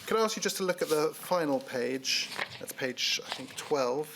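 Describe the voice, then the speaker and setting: A man's voice: on the high side, animated, moderate pace, noisy background. A man serving as counsel who questions a witness at a formal hearing in a polite, courteous way, with frequent ums.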